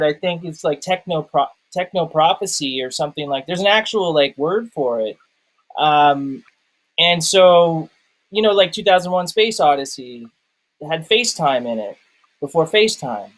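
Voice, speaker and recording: A man's voice: a little high, animated, normal speed, rough recording. A man co-hosting a casual film discussion, rambling and searching for his words as he goes. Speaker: film review co-host.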